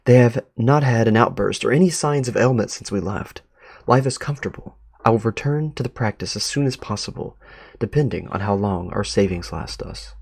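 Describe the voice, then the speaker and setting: A man's voice: medium pitch, brisk, creaky, darkish tone, slightly rough, no echo, studio quality. A man reading letters aloud as a sentimental, well-off doctor and devoted adoptive father, in formal, earnest words. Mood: earnest, wistful.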